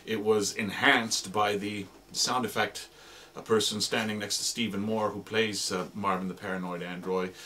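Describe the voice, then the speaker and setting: A man's voice: on the low side, steady tone, normal speed, bright, forceful tone, a little hiss or hum. A man giving an informal, explanatory demonstration of radio drama sound effects, piling up descriptive words as he talks.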